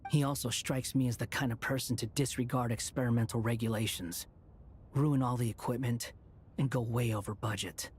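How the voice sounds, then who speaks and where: A woman's voice: a bass voice, steady tone, brisk, a little mellow, a little hiss or hum. An actor playing an aloof, sarcastic young male wanderer in an anime game, cool, curt and formally dry. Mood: cool, critical. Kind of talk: anime video game dialogue.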